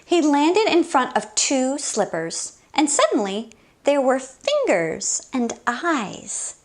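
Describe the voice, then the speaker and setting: A woman hosting a children's story time, reading a picture book aloud in an expressive, sing-song, exclaiming way. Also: high voice, slow.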